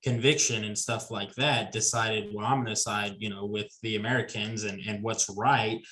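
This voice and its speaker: A man's voice: lowish voice, fairly even intonation, normal speed, sharp tone, poor audio. A man in his twenties talking casually as a podcast guest, easygoing and rambling with restarts. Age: 20s. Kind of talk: casual conversation.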